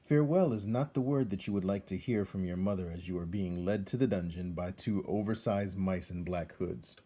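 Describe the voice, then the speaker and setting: A man reading a children's story aloud as narrator, expressive and measured, with dramatic delivery. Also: deep voice. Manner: measured, dramatic, addresses the listener.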